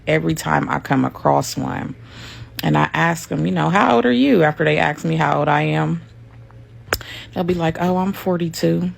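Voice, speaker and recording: A woman's voice: low voice, vocal fry. A woman talking casually and with amusement, laughing and stretching words for emphasis. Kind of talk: casual commentary clip.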